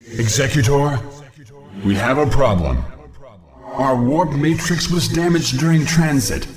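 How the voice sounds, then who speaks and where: An actor playing a proud, dutiful alien military officer in a video game, formal and crisp in his reporting. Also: mid-range voice, lively intonation, measured pace, lightly acted. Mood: urgent reporting. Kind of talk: scripted video game voice acting.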